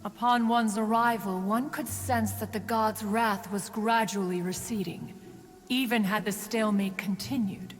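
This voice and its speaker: A woman playing an ancient, haughty crane immortal in a video game, speaking formally in measured, archaic phrases. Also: medium pitch, steady tone, big-room sound, natural voice. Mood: solemn, measured. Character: ancient, haughty female crane adeptus, aloof and wise. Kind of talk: scripted video game character acting.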